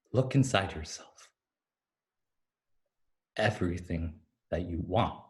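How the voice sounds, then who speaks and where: Man acting slow, intense, low-voiced man urging remembrance. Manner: deliberate, taunting then earnest, poetic. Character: Jim, man urging a lost fairy to remember himself, intense. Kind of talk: staged reading of a stage play. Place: remote staged reading over video call. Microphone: video call microphone.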